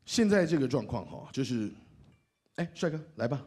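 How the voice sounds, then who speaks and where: Animated Taiwanese man presenting awards. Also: medium pitch, normal speed. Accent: Taiwanese Mandarin. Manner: animated, joking. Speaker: radio host and actor co-announcing award nominations. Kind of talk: playful live ceremony presenting.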